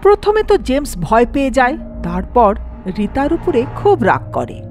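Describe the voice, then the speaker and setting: Woman narrator, high pitch, very lively intonation, dramatic horror storytelling. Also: normal speed, over music.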